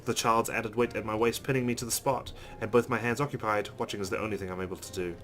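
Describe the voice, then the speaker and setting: Lower-voiced man reading a story aloud. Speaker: let's play host. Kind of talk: reading visual novel narration aloud. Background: game music.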